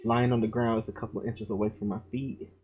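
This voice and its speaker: A man with a lowish voice reads a horror game's text aloud and breaks off often to curse and react. Loud game music plays under his reading. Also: level tone, quick pace. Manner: swears and reacts loudly between read lines. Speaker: gamer playing a visual novel.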